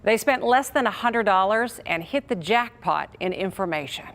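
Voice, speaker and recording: A woman's voice: medium pitch, lively intonation, moderate pace, heavy background noise. An American woman delivers consumer news as a television reporter. She moves between scripted report and studio explanation, addressing viewers in a broadcast style.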